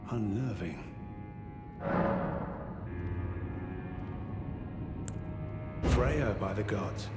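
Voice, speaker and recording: An actor playing an aging, honorable warrior knight in a fantasy game, speaking in a measured, formal, ruminative way. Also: male voice, mid-range voice, natural voice. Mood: pensive. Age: older. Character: aging honorable male warrior knight, weary, grave, courteous. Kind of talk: dramatic fantasy video game dialogue. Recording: echoey hall with long reverb, music behind.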